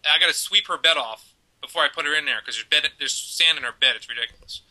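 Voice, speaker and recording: A man in his thirties from Baltimore rambles and jokes about himself on a casual parenting show. His voice is very bright and a bit high. He talks into a headset from a room at home over a video call. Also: fast talker, poor audio. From Baltimore, US East Coast. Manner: rambling, joking, self-mocking. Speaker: podcast co-host.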